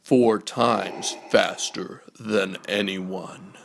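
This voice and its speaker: Slow, low-voiced male storybook narrator. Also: fairly even intonation, vocal fry, slight background noise. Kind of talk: scripted children's bedtime story reading.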